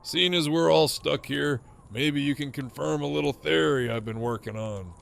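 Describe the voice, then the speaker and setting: Man acting a cocky, gravelly male prisoner. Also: fairly high pitch, moderate pace, a bit bright. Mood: smug, needling. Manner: drawling, smug, sardonic. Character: cocky, teasing, profane male prisoner with a grin. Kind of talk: audio drama acting.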